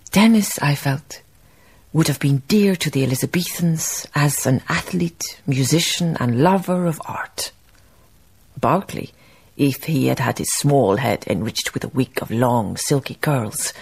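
A reflective woman memoirist narrates a radio play. Her voice is deep for a woman and husky, with a gravelly creak. She speaks lyrically and deliberately, lingering on poetic phrases. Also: darkish tone, heavily acted. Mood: wistful. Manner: measured, lyrical, savouring phrases. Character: reflective, poetic woman memoirist recalling colonial farm life.

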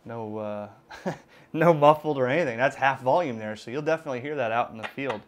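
A middle-aged man with an American accent and a mid-range voice talks through a device in an informal, unscripted way. He explains step by step, often halting to think aloud. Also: medium pitch, a little hiss or hum. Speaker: ham radio gadget reviewer. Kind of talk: casual unscripted product demonstration. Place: indoors at a desk in a room.

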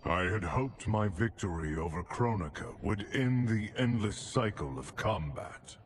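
An actor playing a stern, weary thunder god mentor in a video game, grave and stately. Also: male voice, lowish voice, animated, measured pace, noisy background. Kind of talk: video game cutscene acting.

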